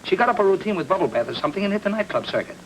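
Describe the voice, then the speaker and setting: A man's voice: sits high, very animated, rapid-fire, poor audio. An actor playing a breezy, cagey ex-showman who runs a lunch counter in an old noir drama, full of slangy patter. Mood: offhand, guarded. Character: wisecracking ex-vaudeville male lunch counter proprietor, breezy and cagey. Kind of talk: 1940s film noir acting. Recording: old film soundtrack with faint noise.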